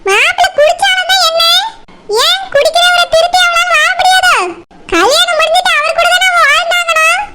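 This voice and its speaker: A woman's voice: extremely high pitch, fairly even intonation, pushed and bright. A woman voicing a stubborn, nagging middle-aged village mother in an amateur cartoon, with a Kanyakumari Tamil accent. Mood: accusing. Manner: nagging, accusatory, rapid complaints.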